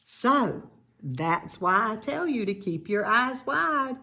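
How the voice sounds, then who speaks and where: A woman reading a children's picture book aloud in an expressive, teacherly way, with informal explanatory asides to young listeners. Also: fairly high pitch, slow, creaky.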